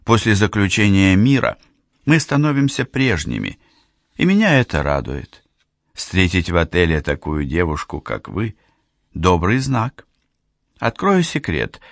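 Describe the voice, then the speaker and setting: A man's voice: low-pitched, lively intonation, a little slow, gravelly, no room sound, studio quality, heavily acted. A man reading an audiobook voices a friendly middle-aged female hairdresser from Ghana, talking in a chatty, confiding way. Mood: hopeful. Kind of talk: audiobook character voice.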